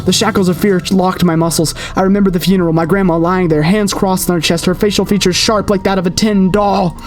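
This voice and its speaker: A man's voice: high-pitched, steady tone, fairly quick. A man reading a horror game's story aloud with theatrical, suspenseful delivery, as a player narrating.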